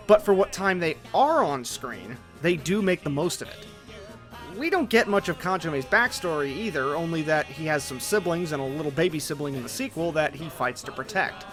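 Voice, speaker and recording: A man's voice: a little high, a bit bright. A man narrating a scripted video essay as its host, in a casual, conversational way with colloquial asides. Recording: music behind the voice. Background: music.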